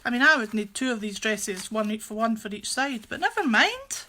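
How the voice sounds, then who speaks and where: A woman's voice: a little high, big pitch swings, normal speed, bright, forceful tone, slight background noise. A woman with a Scottish accent chatting casually and jokily as she hosts a live craft stream, rambling as she goes.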